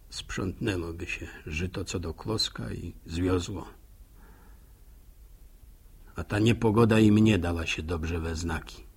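Husky, folksy village storyteller. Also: male voice, low-pitched, full character voice. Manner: folksy, reflective, proverb-laden rambling. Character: folksy village man narrator, musing, neighbourly, plain-spoken. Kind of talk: radio drama monologue in rustic storytelling.

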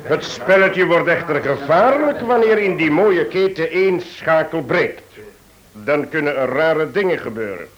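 Courteous, higher-voiced gentleman. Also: animated, measured pace, muffled recording. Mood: cautioning. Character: polite, sober latecomer among uneasy companions. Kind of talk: old radio play acting.

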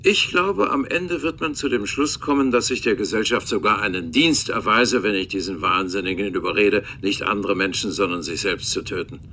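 An actor playing a defensive, haughty Cambridge professor in radio drama dialogue, formal, testy and self-justifying. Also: male voice, on the low side, normal speed, few pauses, sharp tone, slight background noise.

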